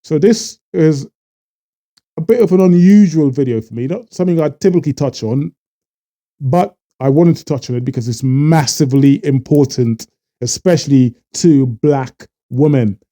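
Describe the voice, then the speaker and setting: A man from Trinidad, talking earnestly to camera and halting often with fillers as a vlogger. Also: fairly high pitch, mellow. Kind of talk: casual talk to camera.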